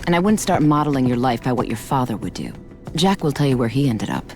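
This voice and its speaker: Very low-voiced tough racer. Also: female voice, expressive, fast, darkish tone, soft background music. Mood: dismissive. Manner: blunt, scornful. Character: hard-bitten, dismissive female racer. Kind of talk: video game cutscene acting.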